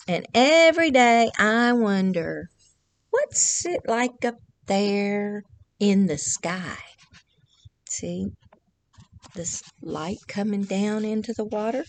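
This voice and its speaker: A woman, reading a picture book aloud to children and pausing to ask them simple questions. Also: on the high side, expressive, bright, forceful tone. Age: 60s. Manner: questioning, explains simply to young listeners. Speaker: children's librarian reading at storytime. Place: indoors, small decorated library room.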